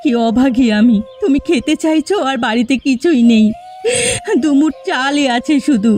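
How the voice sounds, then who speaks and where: A woman's voice: high voice, moderate pace, dark tone, lightly acted. A woman voicing a lamenting poor farmer's wife. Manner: lamenting, complaining. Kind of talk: cartoon dubbing.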